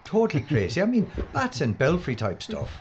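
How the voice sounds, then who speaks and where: An actor playing an excitable, grumbling, sarcastic man in an audio drama, with theatrical delivery. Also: on the high side, brisk. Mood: sarcastic, exasperated. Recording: dull lo-fi audio, faint noise.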